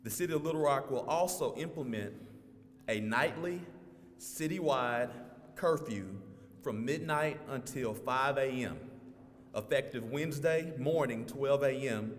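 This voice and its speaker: A man speaking as a city official, giving a formal press conference statement deliberately, in short phrases. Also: mid-range voice. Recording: long hall reverb, faint background noise.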